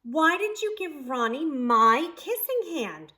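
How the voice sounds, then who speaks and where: A woman voicing a young, insecure raccoon boy from a storybook, with plaintive, drawn-out questions. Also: high voice, big pitch swings, bright, forceful tone, voice pushed up, heavily acted. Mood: hurt, tearful. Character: young male raccoon child, tearful and insecure.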